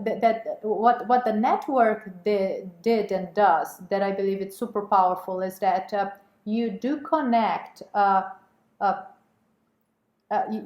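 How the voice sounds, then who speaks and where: A woman's voice: medium pitch, a little mellow. A woman guest, a corporate managing director, talking in an interview with frequent restarts and repeated words. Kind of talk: interview conversation. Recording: roomy echo.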